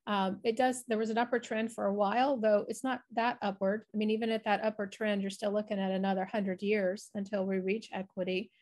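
A woman's voice: medium pitch, flat delivery, a little fast, few pauses, darkish tone. A middle-aged woman, a researcher explaining her work in an online presentation over a video call. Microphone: video call, webcam framing.

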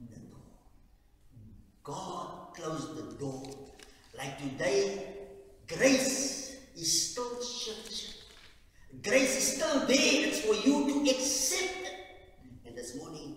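A man from South Africa preaching at a communion service, with fervent loud peaks that drop to quiet low stretches and frequent halting restarts. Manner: halting, repeats words and restarts. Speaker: lay preacher. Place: large echoey church hall. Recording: echoey hall from far off, faint hiss.

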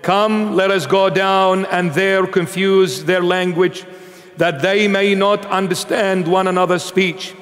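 A middle-aged man from Iraq, a bishop giving an emphatic sermon with Bible reading. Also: high voice. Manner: emphatic, gesturing, animated preaching. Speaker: bishop preacher. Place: large church sanctuary, seated at altar. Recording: long church reverb.

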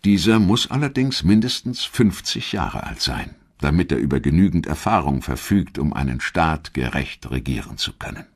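A man reading scripted history documentary narration in a measured, clearly articulated storytelling manner. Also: extremely low pitch, big pitch swings.

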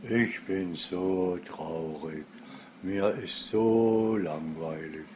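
A man playing a sad, bored zoo elephant with mournful, drawn-out lines in an amateur cartoon. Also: low-pitched, over music. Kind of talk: amateur animated story dialogue.

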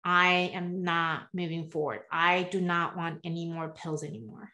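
A woman's voice: lowish voice, unhurried. A woman in her forties, born in the US and partly raised in Taiwan, telling her story as a guest coach over a video call from a room at home, earnest and with many asides. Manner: earnest, animated hand gestures, frequent "right?" asides. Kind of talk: candid interview storytelling.

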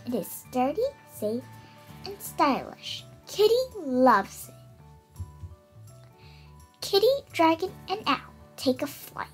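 A young girl of about eight reads a storybook aloud. Her voice is high and swings up and down a great deal as she takes her time through the words. Music plays behind her. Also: slow pace, long pauses. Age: child, about eight. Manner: expressive, careful reading with stumbles over words. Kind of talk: read-aloud children's picture book.

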